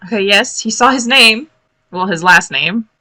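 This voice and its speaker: A woman giving casual, expressive live reaction commentary on a cartoon as a video host, full of exclamations. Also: mid-range voice, normal speed, faint music behind. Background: cartoon soundtrack playing.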